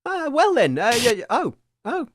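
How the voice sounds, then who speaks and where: A man's voice: extremely high pitch, huge pitch swings, normal speed, no room sound, studio quality. A man co-hosting a comedy radio show in a mock-formal, bemused presenter manner.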